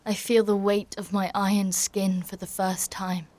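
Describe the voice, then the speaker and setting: A woman playing a fierce, devout warrior knight in a dark fantasy audio drama, narrating with solemn, deliberate intensity. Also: medium pitch, little pitch movement, close to an everyday voice. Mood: weary, subdued. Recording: voice over loud dramatic music.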